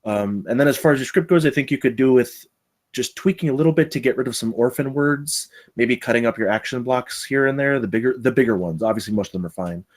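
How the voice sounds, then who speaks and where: A man's voice: medium pitch, fast talker. A man giving casual spoken feedback on a script, chatty and rambling, often interrupting himself. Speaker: table read participant giving script feedback.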